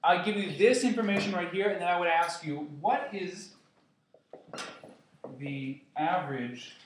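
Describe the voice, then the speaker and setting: Casual, playful man teaching, a touch high, boomy room with noise behind. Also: unhurried, far from the mic. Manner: playful, joking with students. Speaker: chemistry teacher. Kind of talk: classroom review lesson. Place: classroom. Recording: echoey classroom with noise.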